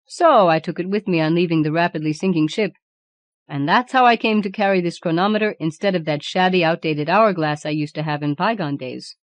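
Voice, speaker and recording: A woman's voice: lowish voice, a little fast, no room sound, natural voice. A woman voicing Death as a male skeleton in a storybook, garrulous, boastful and formal in his storytelling. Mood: boastful, chatty.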